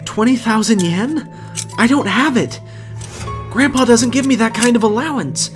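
A woman voicing a small, earnest teenage schoolboy in an anime fandub, excitable in manner. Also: fairly high pitch, normal speed, music in the background, lightly acted. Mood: worried.

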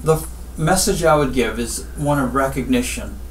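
A middle-aged man from Guyana, a surgeon giving advice in an interview in measured, deliberate short phrases with pauses. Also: medium pitch. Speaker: spine surgeon, interview guest. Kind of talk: interview answers, health advice. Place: small office, seated at desk. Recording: roomy, distant mic, faint background noise.

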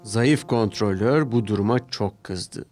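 A man narrating a scripted children's story in an expressive storytelling style. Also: on the low side, a little slow. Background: orchestral music with brass.

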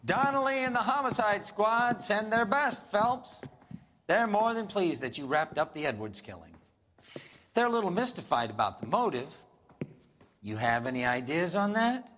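Authoritative high-voiced police superior. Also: male voice, very animated, normal speed, fairly bright tone. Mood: probing, official. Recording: echoey hall, faint noise.

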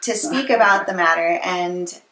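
Lowish-voiced young American woman, roomy sound. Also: unhurried, crowd noise. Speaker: interviewer, host. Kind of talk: casual interview chat. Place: living room with books, indoors. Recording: roomy, echoey living room.

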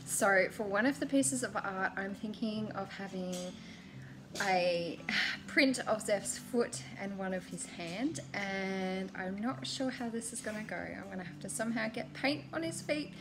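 A young woman with a cold-hoarse voice, chatting casually as she walks through a home DIY project. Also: mid-range voice, a little slow, background noise. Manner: chatty, explains steps as she works. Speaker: DIY vlogger. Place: small home rooms, nursery. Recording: echoey room with guitar music behind.

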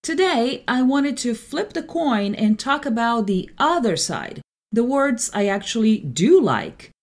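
A woman's voice: on the high side, big pitch swings, measured pace. A woman who speaks Portuguese natively, hosting a solo podcast and explaining things with careful enunciation. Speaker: podcast host; translator.

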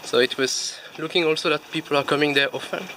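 A man, easygoing and quick to laugh as he films himself outdoors with a phone at arm's length. His voice sits a little high. Traffic and street ambience come through clearly behind him. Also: fairly even intonation. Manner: chatty, laughs often, loose non-native English. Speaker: vlog host. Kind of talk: casual restaurant review chat. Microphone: phone at arm's length selfie.

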